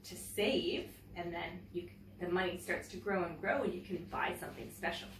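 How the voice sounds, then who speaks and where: A woman teacher reading a picture book aloud to young children, with expressive, deliberate phrasing and chatty asides to her listeners. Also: fairly low pitch. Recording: roomy, distant mic, faint background noise.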